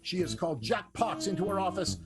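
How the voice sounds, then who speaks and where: Man, radio soap narrator, highly animated, comedic drama, strong plucked guitar backing. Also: fairly quick. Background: prominent plucked guitar music.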